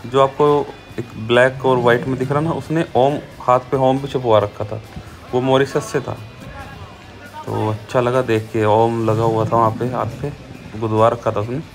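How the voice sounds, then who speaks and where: A man's voice: medium pitch, flat delivery, moderate pace. A man casually narrating a vlog outdoors at a street race, talking loosely about what he sees. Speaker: vlogger. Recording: loud music over the voice.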